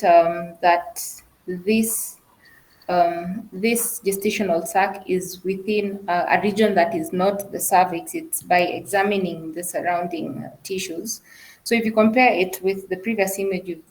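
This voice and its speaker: A woman's voice: fairly low pitch, darkish tone, low-quality recording. A woman trainee presenting medical imaging cases in an explanatory style. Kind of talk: case presentation of medical images.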